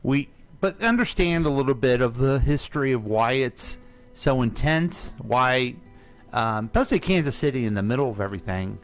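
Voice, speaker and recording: A man's voice: medium pitch, expressive, moderate pace, faint music behind. A man hosting a radio chat in a folksy, talkative way, speaking to listeners as folks. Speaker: radio host.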